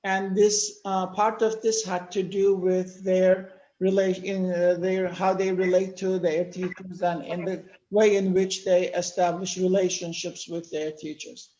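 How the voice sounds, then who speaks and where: An older man from Turkey, speaking natively, giving a measured lecture-style talk with many pauses. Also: sits high, level tone, warm tone, echoey room, dull audio. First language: Turkish. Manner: measured, explanatory, with frequent "uh" pauses. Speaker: panel speaker. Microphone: video call.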